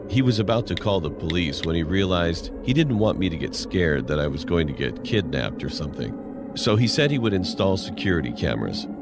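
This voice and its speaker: A man reading a scripted first-person horror story as narration. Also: low voice. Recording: music playing loudly behind. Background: loud music.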